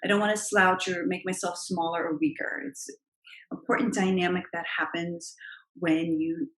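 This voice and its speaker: A middle-aged woman with a naturally low voice, giving emphatic, expressive advice as a confidence coach straight to camera. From Italian heritage. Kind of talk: direct-to-camera advice vlog. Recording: roomy, echoey room sound.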